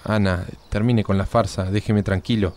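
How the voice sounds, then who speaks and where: A man's voice: on the low side, fairly even intonation, normal speed, darkish tone, lightly acted. A man playing a shy, polite young Argentine gardener in a radio drama, formal and withdrawn. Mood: guarded, hurt.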